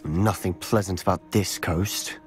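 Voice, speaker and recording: A man's voice: low-pitched, steady tone, unhurried. A young man with a British accent playing a headstrong teenage wizard in a video game, intense and insistent. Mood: resolute. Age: young adult. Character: headstrong, defiant teenage schoolboy wizard, protective brother. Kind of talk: video game voice acting, dramatic dialogue. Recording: game audio with music underneath.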